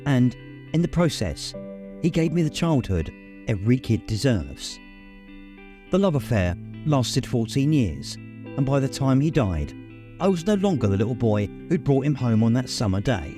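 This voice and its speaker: A man reading a memoir aloud as an audiobook narrator, in measured storytelling phrases. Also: mid-range voice, very animated, warm tone, soft background music. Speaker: audiobook reader. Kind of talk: scripted literary narration.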